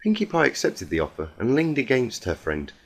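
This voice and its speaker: A man reading a fan fiction story aloud in an earnest, expressive, dramatic amateur narration. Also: medium pitch, fairly quick, breathy edge, poor audio.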